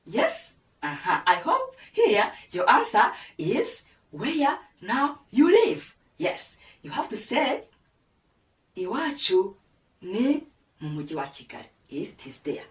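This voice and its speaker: A woman's voice: a little high, huge pitch swings, slow. A woman with a Rwandan accent teaching a language lesson, coaxing listeners to repeat with many prompts. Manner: patient, coaxing, many "yes?" and "aha" prompts. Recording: echoey room, voice a bit far from the mic.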